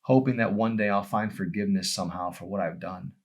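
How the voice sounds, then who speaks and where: Man voicing tormented young man, low voice, halting, guilt-ridden, audiobook dialogue. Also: steady tone. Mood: anguished.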